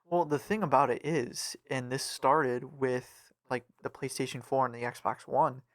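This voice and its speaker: Young male co-host for casual podcast chat; average pitch, very dry sound. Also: steady tone, measured pace, no echo. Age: young adult.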